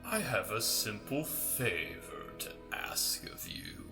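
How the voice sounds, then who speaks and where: Man doing a ghost king, low voice, very slow delivery, cold, taunting. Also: big pitch swings, bright, forceful tone, faint music behind, full character voice. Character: ghost of a menacing murdered male king. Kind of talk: audio drama acting.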